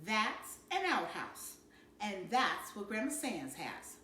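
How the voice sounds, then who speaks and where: A woman reading a storybook as a firm, matter-of-fact mother with a measured way of explaining. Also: medium pitch, big pitch swings, sharp tone, echoey room, a little hiss or hum, heavily acted. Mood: patient firmness.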